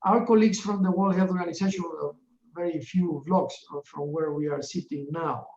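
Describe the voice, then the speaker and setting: A middle-aged man from Ecuador, chairing a webinar in a formal, earnest opening address, halting often with fillers. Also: sits high, fairly even intonation, a little mellow. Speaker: webinar chair, UN division director. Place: office in a large UN building. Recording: echoey, distant and dull-sounding.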